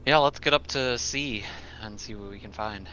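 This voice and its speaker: Bright low male voice, lo-fi audio. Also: moderate pace. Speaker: tabletop game player. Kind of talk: casual game-table discussion. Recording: dull lo-fi audio with faint noise.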